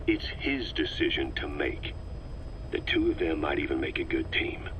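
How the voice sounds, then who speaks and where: An actor playing a shrewd, calm, calculating military veteran in a video game, measured and self-assured. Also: male voice, a bass voice. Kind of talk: dramatic game voice acting. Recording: faint background noise.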